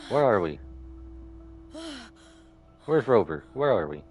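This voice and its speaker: A deep-voiced man gives casual live commentary on a game. His pitch swings widely as he reacts with playful, dramatic exclamations, and faint game music plays behind him. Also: big pitch swings, takes it slowly, a little mellow. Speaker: livestreamer playing a story game.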